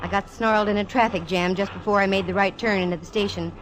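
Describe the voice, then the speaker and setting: A woman's voice: medium pitch, steady tone, fast talker, lightly acted, poor audio. An actress playing a sassy, wisecracking female private eye, with wry, punchy delivery in 1940s radio style. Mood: tense narration. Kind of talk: 1940s radio detective acting and narration. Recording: vintage radio broadcast with music behind.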